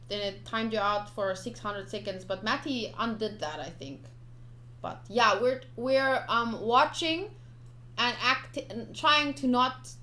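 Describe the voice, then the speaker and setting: A woman's voice: a little high, a bit bright. A young woman streaming a game, informal and chatty, switching between casual commentary and reading aloud.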